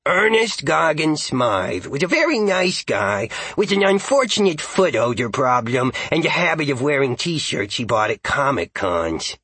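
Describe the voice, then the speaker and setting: A man voicing an excitable, playful male dog who narrates a story in a chatty, goofy, raspy voice. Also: mid-range voice, unhurried, hardly any pauses, no room sound, full character voice. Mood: chatty, amused. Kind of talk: first-person audiobook narration.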